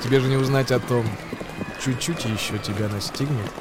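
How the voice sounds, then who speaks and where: An actor playing a wry, agitated storyteller in a radio play, reciting a ghoulish verse tale in an expressive, theatrical manner. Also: male voice, medium pitch, fairly quick, background noise, close to an everyday voice. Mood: urgent. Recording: music behind the voice. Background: music.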